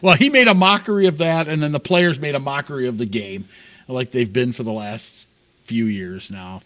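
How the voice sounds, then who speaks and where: A man's voice: medium pitch, moderate pace, a bit bright. Man, sports talk host, casual chat, laughs often, gets worked up.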